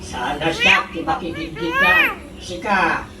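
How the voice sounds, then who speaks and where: A woman's voice: on the low side, wildly swooping pitch, slow pace, heavy background noise, full character voice. A woman playing a nosy, jealous, grumbling old gossip in a comedic radio drama, with an Ilocano accent. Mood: muttering, sulky. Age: older. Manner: grumbling, muttering, swooping into outbursts.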